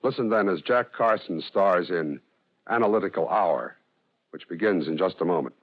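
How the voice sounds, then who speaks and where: A man delivering a formal, measured scripted introduction as a radio announcer. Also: sits low, expressive. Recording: dull lo-fi old radio broadcast.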